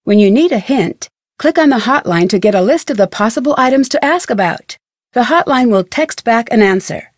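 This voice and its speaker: A curious, friendly young woman detective from a video game. Her American voice sits fairly high, with a chipper, inquisitive delivery. The sound is very dry, as in scripted game dialogue. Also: expressive, moderate pace, no room sound. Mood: friendly, instructive. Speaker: video game heroine and tutorial guide.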